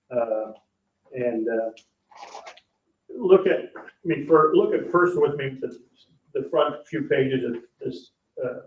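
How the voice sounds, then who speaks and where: A man with a medium-pitched voice presents finances at a public meeting. He goes haltingly and plainly, pausing to check that people follow. He sounds distant from the microphone, in a very echoey room. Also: phone-quality sound. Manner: halting, plain-spoken, checks understanding with "okay?". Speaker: parks board official presenting the budget.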